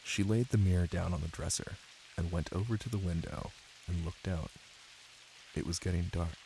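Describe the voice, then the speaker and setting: A man doing a cozy boyfriend ASMR bedtime reading, soft, intimate and playful. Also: low-pitched, normal speed, poor audio. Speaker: ASMR voice actor playing a caring boyfriend. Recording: light hiss under rain ambience. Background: rain.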